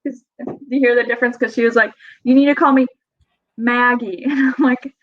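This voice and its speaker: A woman's voice: on the high side, steady tone, a little fast. Giggly young woman with a Wisconsin accent. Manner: giggly, chatty, lots of fillers and laughs. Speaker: podcast guest. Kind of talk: casual interview chat. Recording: dull lo-fi sound.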